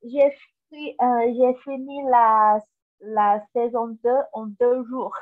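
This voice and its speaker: Taiwanese woman, Mandarin native, hesitant French learner, higher voice, phone-like audio. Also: steady tone. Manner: slow, hesitant French learner with many pauses. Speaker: interview guest. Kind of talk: casual interview chat. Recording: tinny phone-like line.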